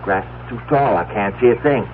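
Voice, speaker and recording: An actor playing an anxious, loving, stubborn farmer husband in a radio drama, with a halting, stammering urgency. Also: male voice, fairly low pitch, lightly acted. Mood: exasperated. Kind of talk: vintage-style radio drama acting. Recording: muffled, noisy old radio drama recording.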